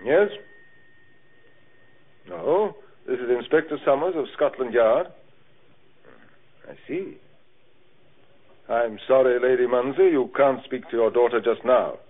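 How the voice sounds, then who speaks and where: A man's voice: mid-range voice, measured pace, long pauses, close to an everyday voice. A man playing a stern, unflappable police inspector in an old radio drama, clipped, dry and authoritative. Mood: firm. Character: stern, unflappable male Scotland Yard inspector. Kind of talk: 1950s radio drama acting. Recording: muffled old radio recording.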